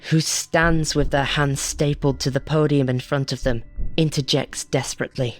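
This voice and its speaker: A woman's voice: a bass voice, fairly even intonation, normal speed, faint music behind. A woman reading a scripted short horror story as its narrator.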